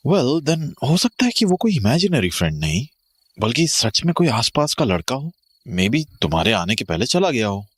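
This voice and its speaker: An actor playing a reassuring, easygoing husband in an audio horror drama, conversational with some English mixed in. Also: male voice, mid-range voice, sing-song, moderate pace, slightly rough, dry recording.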